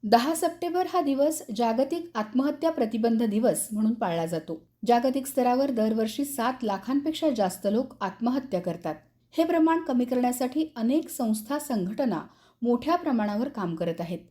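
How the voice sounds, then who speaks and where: A woman's voice: a little high, expressive, moderate pace, mellow. A woman reading scripted radio news as the presenter.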